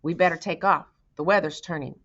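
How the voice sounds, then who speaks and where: A woman's voice: lowish voice, steady tone, brisk, fairly bright tone, lo-fi sound. A woman reading a story as a practical, obliging, plainspoken man who flies a small seaplane.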